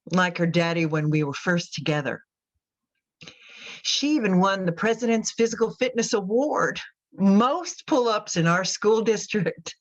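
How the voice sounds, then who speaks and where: A woman playing a devout, unsettled imprisoned mother in a radio drama, giving a halting, wistful confessional monologue. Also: fairly low pitch, dull audio. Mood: fond. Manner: halting, wistful, folksy, brittle.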